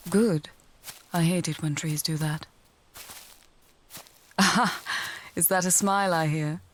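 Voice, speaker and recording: Woman playing a low-voiced wry fantasy mage. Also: steady tone, a little hiss or hum. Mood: dry teasing. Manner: measured, articulate, dryly teasing. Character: wry, confident female fantasy mage and healer, teasing, caring. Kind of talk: fantasy audio roleplay, addressing the listener.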